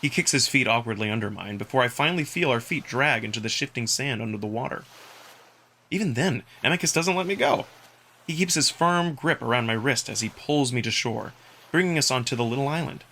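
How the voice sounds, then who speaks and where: Animated, bright-voiced male story narrator. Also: mid-range voice, fairly quick. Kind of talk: dramatic first-person visual novel narration.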